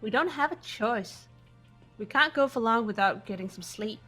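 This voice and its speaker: A woman voicing a game character in a fan dub, steady and matter-of-fact. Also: fairly high pitch, moderate pace. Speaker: player voicing several characters. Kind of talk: fan voice acting of a game script. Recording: faint music behind. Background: faint game music.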